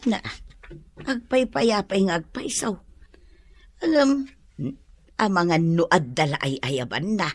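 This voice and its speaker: A woman's voice: medium pitch, measured pace, pauses often, close to an everyday voice. A woman playing a middle-aged, chatty, exclamatory mother with an Ilocano Filipino accent in a comedy radio drama. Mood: curious, nosy. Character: middle-aged gossipy mother, exasperated and animated.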